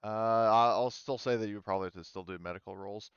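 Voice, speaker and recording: A man's voice: lowish voice, steady tone, fast talker, a bit bright, clean tone, dry recording. A man running a casual online tabletop role-playing game as game master, explaining in hesitant pieces. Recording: dull, slightly muffled audio.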